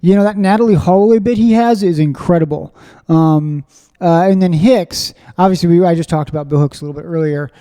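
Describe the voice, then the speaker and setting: A high-pitched man with a mellow, dark tone hosts a casual podcast chat. He rambles excitedly, piling up fillers and restarting sentences. His voice rings with a long reverb. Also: brisk, clear, resonant tone. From Springfield, Missouri, USA. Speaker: podcast host. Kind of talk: casual conversational interview.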